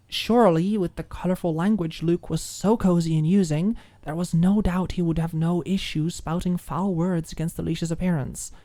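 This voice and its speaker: A woman's voice: on the low side, warm tone, no echo. A woman narrating a scripted story in an ASMR audiobook style.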